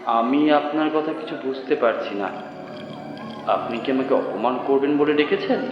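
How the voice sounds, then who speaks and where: Actor playing a defensive writer in echoing hall. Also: male voice, medium pitch, moderate pace, natural voice. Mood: uneasy. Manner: hesitant, defensive, protesting. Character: insulted, hesitant male writer growing indignant. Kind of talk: Bengali horror audio drama acting. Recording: heavily reverberant dull hall sound, music behind.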